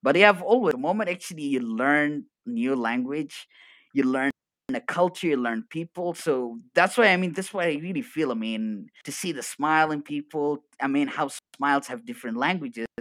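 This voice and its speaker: A man's voice: medium pitch, no echo. Upbeat Arabic-native man, laughing guest. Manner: energetic, laughs often. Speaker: podcast guest. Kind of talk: casual live-stream chat.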